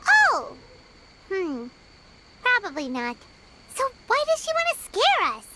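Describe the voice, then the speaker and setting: A woman voicing a small, childlike, excitable and timid fairy companion in a video game, with a squeaky voice. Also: takes it slowly, very noisy, exaggerated voice. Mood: puzzled. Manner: refers to self in third person, exclamatory. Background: background music.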